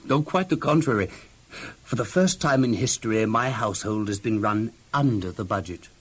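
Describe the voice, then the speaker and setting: An actor playing a wealthy, effusive master of an ancient household in a children's audio Bible drama, speaking expansively with emphatic, halting repetitions. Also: male voice, on the low side, slightly rough. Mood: probing, approving.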